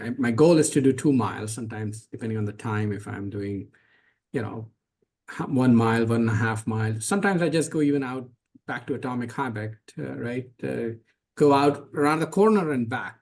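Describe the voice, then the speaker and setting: A man's voice: medium pitch, a little fast, vocal fry, darkish tone. A middle-aged man on a webinar panel, talking earnestly in a casual way, often correcting himself and using many fillers. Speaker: startup CEO on a panel.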